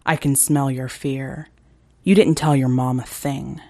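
Woman voicing a low, menacing male wolf. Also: fairly even intonation, measured pace, dark tone, no echo, full character voice. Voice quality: low, guttural growl. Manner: threatening, coldly commanding. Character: big menacing male wolf, predatory and controlling. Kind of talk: dramatic short story reading.

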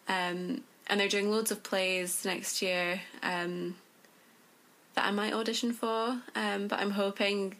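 A woman's voice: fairly low pitch, vocal fry, fairly bright tone, rough recording. A woman with a northern English accent giving casual, slightly hesitant answers as an interview guest. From northern England. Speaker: stage actress, interview guest.

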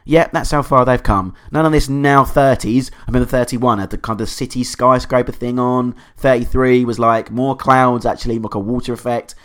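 A man hosting a podcast, hoarse from a cold, chatting in an enthusiastic, rambling way. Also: medium pitch, steady tone, normal speed, few pauses, fairly bright tone. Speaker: podcast host. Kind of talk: casual podcast monologue.

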